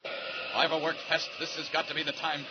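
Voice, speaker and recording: A man's voice: on the high side, level tone, brisk, close to an everyday voice. An actor playing a determined, heroic superhero in 1940s radio serial style, urgent and effortful as he talks himself through the action. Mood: urgent resolve. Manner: urgent, self-narrating, effortful. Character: determined heroic male superhero racing to save lives. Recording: muffled, noisy old radio recording.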